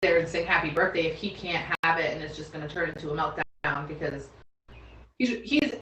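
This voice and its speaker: Rambling thirty-something mom. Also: female voice, sits low, fairly quick, bright, forceful tone. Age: 30s. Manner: rambling, many restarts and fillers. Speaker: guest, mother. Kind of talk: casual chat. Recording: roomy, distant mic with faint background noise.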